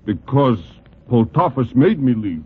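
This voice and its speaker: An actor playing a naive, earnest exiled king turned boxer, with a foreign accent and halting, stilted English, in 1940s radio style. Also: medium pitch, big pitch swings, breathy edge, heavily acted. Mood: bewildered, ashamed. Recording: tinny, band-limited old radio recording.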